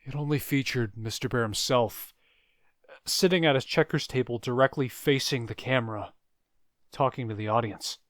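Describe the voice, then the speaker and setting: A man narrating a scripted first-person horror story, with dramatic pauses and phrases that trail off quietly. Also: medium pitch, dry recording, clean studio sound. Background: music.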